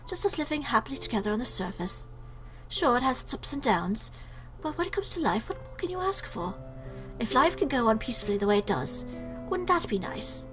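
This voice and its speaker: A woman voicing a gentle, motherly monster from a game in a soft, tender, emotional way. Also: high voice, rough recording.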